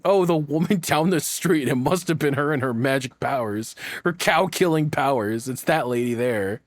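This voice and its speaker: A man's voice: mid-range voice, normal speed, no room sound. A man giving casual, joking reaction commentary, rambling along and swearing freely. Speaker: reactor, streamer.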